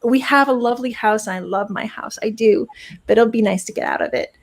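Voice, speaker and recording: A woman in her thirties co-hosting a video chat from home, excitable and chatty as she shares personal news. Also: fairly high pitch, a little fast. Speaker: co-host. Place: home, indoors, on a video call.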